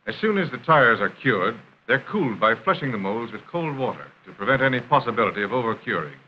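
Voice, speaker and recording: An American man reading scripted narration for a 1930s industrial film in a formal, measured announcer style. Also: mid-range voice, very animated. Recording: dull, noisy 1930s film soundtrack.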